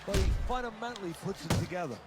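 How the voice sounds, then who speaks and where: A man's voice: high voice, rapid-fire, over loud music, natural voice. A man playing an opinionated boxing analyst in a video game, explaining things in an insistent, instructive way. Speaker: boxing color commentator. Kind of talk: sports analysis. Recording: loud game noise behind voice.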